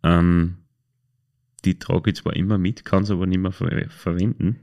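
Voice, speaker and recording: A man with an Austrian accent and a low-pitched voice talks as a podcast host. He speaks casually and colloquially, feeling for his words and often correcting himself as he goes. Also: little pitch movement, unhurried, warm tone. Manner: hesitant, self-correcting, colloquial. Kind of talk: casual podcast chat, telling anecdotes.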